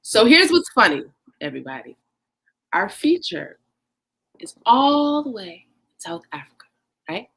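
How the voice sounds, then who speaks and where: A woman's voice: medium pitch, big pitch swings, creaky, fairly bright tone, breathy edge. A woman hosting a casual podcast on a livestream, upbeat and chatty. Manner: upbeat, chatty, drawn-out emphasis. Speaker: podcast host.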